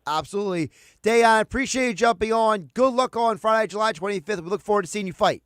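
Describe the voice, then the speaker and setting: A man's voice: sits high, quick pace, a bit bright, smooth, no room sound, clean studio sound. A male radio host interviewing a boxer, asking long, rambling questions in a chatty way and calling himself a geek. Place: home studio.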